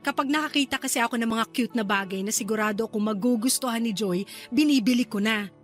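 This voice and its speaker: A woman acts out a devoted, excitable aunt in a radio drama. Her voice is high and expressive, with faint music behind her. She comes across as proud and doting. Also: fast, natural voice. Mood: excited, fond. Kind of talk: Tagalog radio drama acting.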